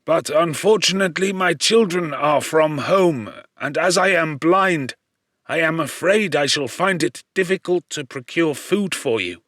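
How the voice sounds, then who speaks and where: A man voicing a kindly, poor old blind cottager in an audiobook, gentle, earnest and courteous. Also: on the high side, animated, unhurried, dry recording, clean studio sound, voice pushed up. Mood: courteous, inquiring. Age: older. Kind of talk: audiobook character voice.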